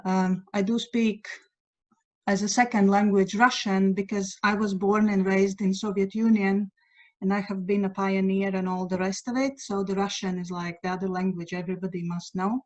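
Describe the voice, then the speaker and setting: Latvian woman, halting English, muffled call. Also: mid-range voice, little pitch movement, darkish tone. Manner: halting, searches for English words. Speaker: guest writer sharing news. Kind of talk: casual chat on a video call. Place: at home by a window at night. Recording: dull lo-fi video call audio.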